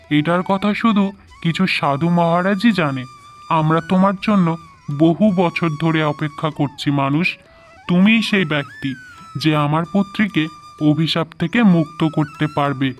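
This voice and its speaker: A man's voice: fairly high pitch, unhurried, close to an everyday voice. A man portrays the king of a magical realm in a cartoon fairy tale. His manner is grave and mysterious. Faint music sits under the voice. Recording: music behind the voice.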